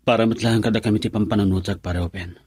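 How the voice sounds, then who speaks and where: A man's voice: fairly low pitch, steady tone, racing, a little mellow, breathy edge, no echo. A man acting a lovelorn, earnest young man whose sweetheart left him, pleading his case in an Ilocano radio drama. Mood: dejected.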